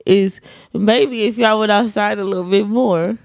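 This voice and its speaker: A woman's voice: mid-range voice, fairly even intonation, a little slow, vocal fry, no echo, clean studio sound. A young woman co-hosting a podcast, chatting in a teasing, blunt way. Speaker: podcast co-host. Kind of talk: casual chat.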